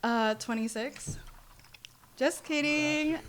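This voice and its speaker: A woman's voice: sits high, slow, a bit bright, a little hiss or hum. A woman guesting on a casual podcast, chatting in slangy, playful interjections. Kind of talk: casual group banter. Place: garage.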